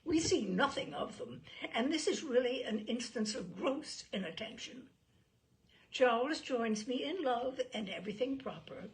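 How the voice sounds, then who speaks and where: A woman putting on a self-important, gossipy Regency lady, very animated. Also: fairly high pitch, measured pace, slight background noise, low-quality recording. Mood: peevish, complaining. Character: self-pitying, gossipy young married Regency gentlewoman writing letters. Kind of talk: dramatised audiobook reading of a classic novel.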